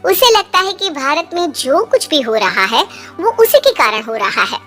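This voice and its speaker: A woman storyteller with a strikingly high, bright voice narrates a scripted moral tale for cartoons. Soft music plays behind the narration. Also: sing-song, normal speed.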